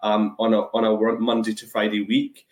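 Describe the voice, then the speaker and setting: A man with a Scottish accent explaining things thoughtfully on a webinar, with measured, hesitant phrasing. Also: on the low side, completely flat delivery, a little mellow. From Scotland. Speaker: guest, anaesthesiology and intensive care resident. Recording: echoey webinar call.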